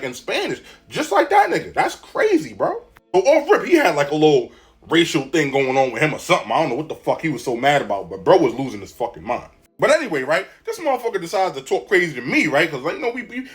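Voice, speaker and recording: A man telling a story in casual, slangy, animated style, often checking in with the listener. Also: on the high side.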